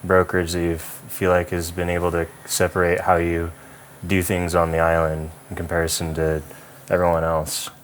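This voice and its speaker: A man's voice: deep voice, monotone, moderate pace, a little hiss or hum, low-quality recording. A man hosting a casual interview, talking in a friendly, conversational way. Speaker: podcast host.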